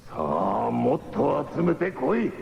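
An actor playing a gloating skull-masked villain who commands his minions slowly in a 1970s radio drama. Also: mid-range voice, very animated, creaky, exaggerated voice. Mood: commanding. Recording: dull old radio recording, heavy reverb.

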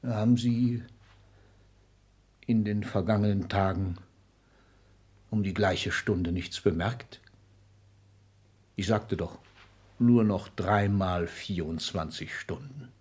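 An actor playing a grim, agitated and defiant grandee in a 1960s German radio drama, declaiming urgently. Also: male voice, fairly low pitch, takes it slowly, long pauses, darkish tone, breathy edge.

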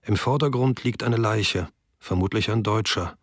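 A man quietly reading diary entries for a radio feature. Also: lowish voice, moderate pace, breathy edge, no echo, studio quality. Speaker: diary reader.

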